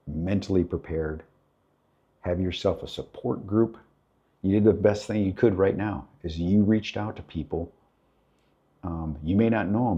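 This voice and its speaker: A man's voice: sits low, dark tone, slightly rough. A man in his fifties giving blunt, fatherly advice to a listener on a divorce talk channel. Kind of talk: casual spoken advice to a listener. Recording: dull lo-fi recording.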